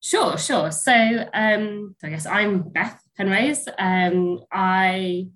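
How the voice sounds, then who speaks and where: A woman's voice: mid-range voice, slow pace, fairly bright tone. A woman, sounding a bit snuffly from a cold, answering interview questions in a casual, hesitant way with many ums and restarts. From England, near Bristol. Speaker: guest, pasture science lecturer.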